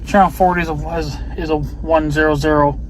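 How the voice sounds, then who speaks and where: American guy, kinda high voice, rambly grumbly vlog talk, resigned, bit of car noise behind him. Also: fairly even intonation, fairly quick, poor audio. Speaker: vlogger.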